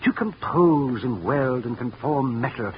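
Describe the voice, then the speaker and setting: An actor playing a fussy older safe company president in an old radio drama, formal, effusive and given to fluttery exclamations. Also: male voice, mid-range voice, animated, measured pace, close to an everyday voice. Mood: rapturous, courtly. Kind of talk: 1950s radio drama acting. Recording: muffled, noisy old radio recording.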